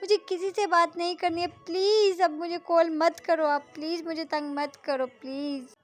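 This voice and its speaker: A woman's voice: very high-pitched, fairly even intonation, moderate pace, pitched above the performer's own voice. A woman voicing a tearful teenage girl who snaps and pleads to be left alone. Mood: upset pleading. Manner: pleading, raised and strained. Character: upset teenage girl Kavita, tearful and snapping.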